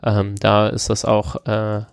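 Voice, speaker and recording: A calm man co-hosting a podcast, easygoing and quick to agree. His mid-pitched voice carries a gravelly fry, and the close mic leaves it dry. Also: dry recording. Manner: calm, agreeable, short affirmations. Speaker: podcast co-host. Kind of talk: casual chat.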